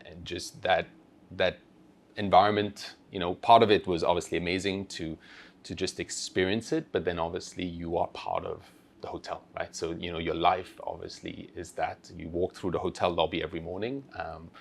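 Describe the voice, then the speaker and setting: A man in his thirties, a company founder, answering interview questions in a relaxed, hesitant way with many fillers and restarts. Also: low voice, normal speed, vocal fry. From Switzerland-born, raised in Asia. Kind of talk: conversational interview.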